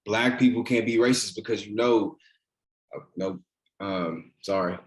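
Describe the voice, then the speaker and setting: A man's voice: on the low side, a little slow, close to an everyday voice, rough recording. A man reading a teasing, devoted boyfriend in a naturalistic drama table read, conversational and a little hesitant. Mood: sheepish, hesitant. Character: teasing, devoted boyfriend, nervous about his grandmother. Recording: virtual meeting recording.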